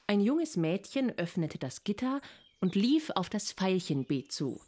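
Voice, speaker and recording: A woman's voice: medium pitch, sing-song, measured pace, darkish tone. A woman narrator reading a scripted storybook fable for children.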